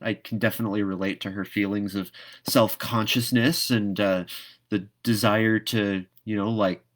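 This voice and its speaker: Male read-aloud host, lower-pitched voice, reflective and casual, comfortable narrating a storybook. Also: fairly even intonation, moderate pace.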